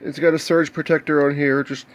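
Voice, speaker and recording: An American man talking casually and off the cuff as he shows electronics, explaining in a halting, plain-spoken way. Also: on the high side, flat delivery, a little hiss or hum. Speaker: hobbyist video maker showing electronics. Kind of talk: casual unscripted show-and-tell. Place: indoors, cluttered home workshop.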